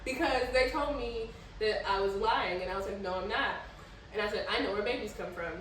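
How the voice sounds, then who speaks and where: A young American woman tells stories in casual, informal speech, slipping into quoted dialogue as she goes. She has a medium pitch and is recorded away from the mic in a very echoey room with faint noise. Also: a little fast, recorded from a distance. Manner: animated retelling, acting out quoted dialogue; informal, swears freely. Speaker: podcast host. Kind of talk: casual conversational storytelling.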